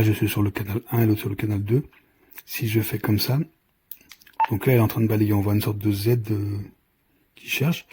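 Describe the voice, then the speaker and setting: A man giving an unscripted, step-by-step product demonstration as a reviewer, with a hesitant, halting delivery and frequent self-interruptions. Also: fairly low pitch, warm tone. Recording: clearly noisy recording with clicks.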